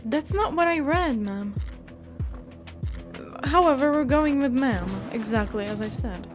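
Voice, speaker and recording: Slow-talking, high-voiced sassy woman gamer. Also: lively intonation. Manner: sassy, mock-indignant, joking asides. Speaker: host of a visual novel walkthrough channel. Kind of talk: playful gameplay commentary with reading aloud. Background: music.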